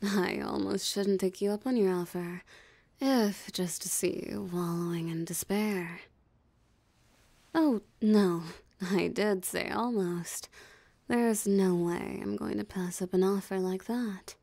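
A woman's voice: mid-range voice, creaky, warm tone, no room sound. A woman voicing a possessive, mocking female vampire in an ASMR roleplay, with a teasing, theatrically smug drawl. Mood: gloating, commanding. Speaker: voice actor. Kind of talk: ASMR roleplay audio drama.

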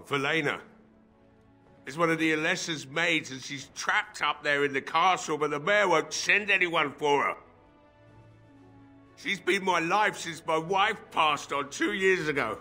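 Gruff, forceful old drunken blacksmith. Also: male voice, on the high side, full character voice. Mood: bitter despair. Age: older. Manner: weary, gruff, despairing. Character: drunken, grief-stricken old village blacksmith, gruff and bitter. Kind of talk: fantasy game dialogue acting.

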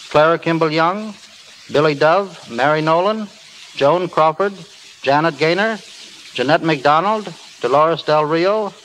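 A man's voice: fairly high pitch, a bit bright. A man speaking formally as an editor guest in a scripted 1930s radio interview. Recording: noisy old 1930s radio broadcast.

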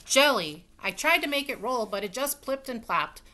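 A woman acts out a cheerful, muddled housemaid from a children's storybook. She is chirpy and matter-of-fact, taking everything literally, in a bright voice. There is faint noise on the webcam recording. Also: a little high, expressive, normal speed, voice pushed up.

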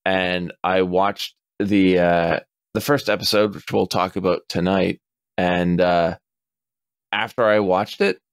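A man in his forties on a podcast, chatting casually, animated and swearing freely as he acts out customers' lines. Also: low voice, slow, smooth, no echo. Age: 40s. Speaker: podcast co-host.

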